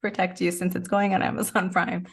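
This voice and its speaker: A woman's voice: fairly low pitch, level tone, fairly quick, slightly rough. A woman speaking as an interview guest, chatting informally and often chuckling through her words. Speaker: interview guest, actor and musician. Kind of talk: casual interview chat.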